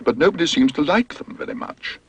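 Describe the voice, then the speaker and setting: A man's voice: fairly high pitch, expressive, brisk, husky, pitched above the performer's own voice. A man voicing a polite, earnest young male bear in a storybook reading, with prim, proper diction.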